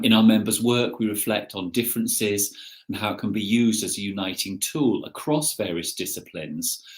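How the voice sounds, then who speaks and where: A man giving a formal, measured event introduction as an academic host, sometimes halting as he goes. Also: low voice.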